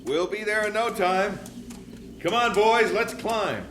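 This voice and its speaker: Audio drama: hearty expedition-leading husband, raised high voice, slow phrases, birds and faint noise behind. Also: male voice, animated, fairly bright tone, exaggerated voice. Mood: hearty, eager. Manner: calling out, encouraging.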